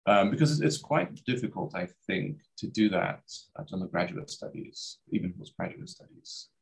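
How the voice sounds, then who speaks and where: Low-voiced man from Iraq, hesitant. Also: expressive, moderate pace, gravelly, poor audio. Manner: hesitant, many restarts and fillers. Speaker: guest scholar. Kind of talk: casual interview over video call. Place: home study with bookshelves. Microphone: webcam on video call.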